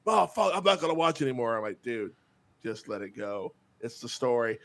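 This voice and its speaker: A man's voice: medium pitch, sing-song, fairly quick, vocal fry, a bit bright. Blunt, profane Texan man. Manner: blunt and profane, laughs often. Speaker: podcast co-host. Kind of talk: casual podcast chat.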